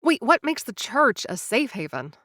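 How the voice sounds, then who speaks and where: A woman's voice: fairly high pitch, very animated, moderate pace, sharp tone, no room sound, studio quality, full character voice. A young woman voicing a sarcastic, nervous influencer who narrates her story straight to the listener in an audio drama.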